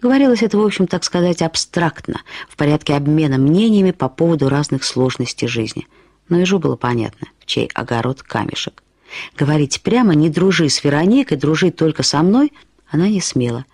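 A woman reading a literary short story as narrator, with expressive, reflective delivery. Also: low voice, a little fast, dry recording, clean studio sound.

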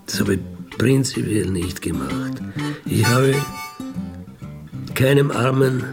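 Middle-aged man, Austrian accent, very slow delivery, gravelly fry, colloquial matter-of-fact storytelling, radio feature. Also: fairly low pitch. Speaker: former burglar telling his life story. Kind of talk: casual first-person storytelling in a radio feature.

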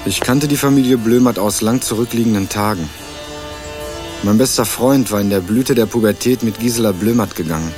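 An actor playing an earnest young male student investigator in a radio crime drama, narrating and questioning in a measured, dry way. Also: on the low side, music in the background, lightly acted. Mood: measured narration. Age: young adult. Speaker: narrator and lead character.

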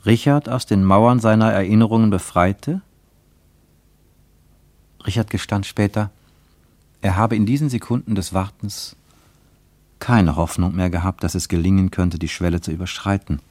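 A man acting as the grave, reflective narrator of a literary radio play, with deliberate dramatic phrasing. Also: sits low, darkish tone, dry recording, close to an everyday voice.

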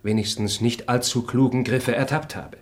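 An actor playing a reserved, formal noble traveller in a classic radio play, speaking in a measured way. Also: male voice, medium pitch, steady tone, close to an everyday voice. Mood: earnest. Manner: measured, formal, grows indignant. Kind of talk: stage radio play acting.

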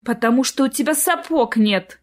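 A woman's voice: on the high side, lively intonation, moderate pace, darkish tone. Scolding mother, acted by a woman, weary, distrustful, stern, flustered, storybook character.

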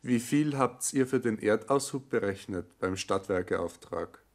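A man's voice: on the low side, lively intonation, measured pace. An actor playing an evasive, business-minded young man with a Bavarian accent in a radio play, matter-of-fact and detached. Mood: detached, businesslike. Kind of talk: naturalistic radio play acting.

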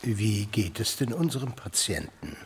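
Urbane colonel, lively intonation. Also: male voice, lowish voice, a little slow, slight background noise. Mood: genial, urbane. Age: 40s. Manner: urbane, conversational, reflective. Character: courteous, musing infantry colonel aged forty-three. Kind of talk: radio play acting.

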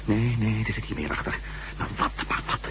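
An actor playing an uneasy adventuring former astronaut and reporter in a Dutch radio drama, musing and repeating himself hesitantly. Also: male voice, lowish voice, fairly quick, lightly acted. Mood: intrigued. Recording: noisy old radio drama recording.

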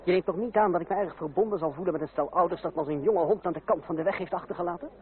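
An actor playing a bitter, ironic young outsider in revolutionary France, in a Dutch radio drama with a dry, sardonic delivery. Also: male voice, sits high, very fast, lightly acted. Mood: brooding. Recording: tinny old radio recording, crowd behind.

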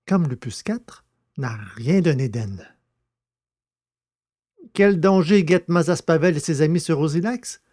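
A man with a somewhat high-pitched voice hosts a podcast and narrates audio fiction. He speaks in a scripted presenting style, as if reading from a prepared text. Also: a little mellow.

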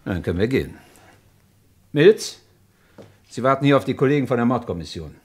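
An actor playing a composed, authoritative police inspector in a radio crime drama, matter-of-fact and commanding. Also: male voice, mid-range voice, very animated, a little fast, husky. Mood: stern, controlled. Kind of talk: 1950s-80s German radio crime drama acting.

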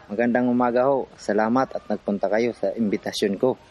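A man's voice: fairly low pitch, steady tone, fairly quick, dull audio, a faint crowd, natural voice. A man playing an earnest, determined activist from the urban poor, telling his story in a radio drama. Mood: earnest rallying. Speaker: narrator and protagonist. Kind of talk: radio drama narration and dialogue. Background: music.

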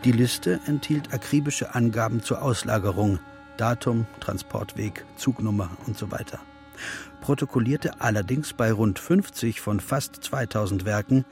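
A man playing a sober, sardonic chronicler, narrating in a measured, precise documentary style for a radio drama. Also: lowish voice, darkish tone, natural voice.

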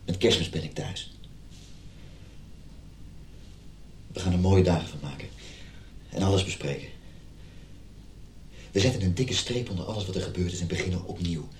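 An actor playing an injured husband in a radio drama, earnestly and emotionally pleading for reconciliation. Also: male voice, brisk, darkish tone, lightly acted. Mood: hopeful, urgent. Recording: roomy sound with background rumble.

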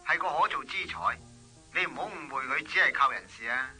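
An actor playing an earnest suitor, eager to please, in a Hong Kong Cantonese radio drama. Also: male voice, mid-range voice, expressive, brisk, pushed and bright, faint music behind. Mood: friendly, coaxing.

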